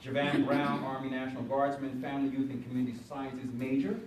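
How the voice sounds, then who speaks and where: A man's voice: mid-range voice, little pitch movement, brisk, a little mellow, echoey room. Older guy moderating, um-ing and restarting, far off the mic in a noisy room. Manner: hesitant, filler-heavy, with restarts. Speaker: moderator, university teacher. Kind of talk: panel moderation. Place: panel room with audience.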